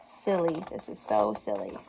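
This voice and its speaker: A woman reading a children's story aloud like a teacher, animated and encouraging, pausing to put questions directly to young listeners. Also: very deep voice, creaky, a little hiss or hum, low-quality recording.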